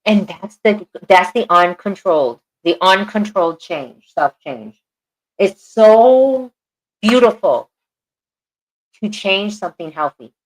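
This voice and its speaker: A woman's voice: mid-range voice, animated, slow pace, rough recording. A woman co-hosting a podcast, talking earnestly and repeating phrases for emphasis. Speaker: podcast co-host. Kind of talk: casual podcast chat.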